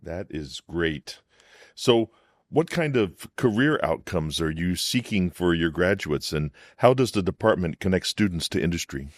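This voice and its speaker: A man hosting an interview, asking questions in a measured, encouraging way. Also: low-pitched, expressive, no room sound, studio quality. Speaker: podcast host, professor.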